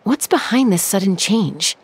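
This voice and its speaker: A woman playing a composed, protective young heroine in a fantasy video game, speaking in a measured, earnest way. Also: medium pitch, lively intonation, slightly rough. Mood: concerned.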